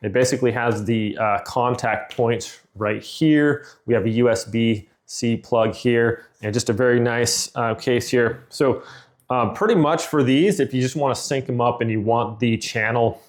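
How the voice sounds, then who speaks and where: A man's voice: medium pitch, moderate pace. A middle-aged man giving an informal, rambling product review in a conversational way. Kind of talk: casual unboxing and review chat.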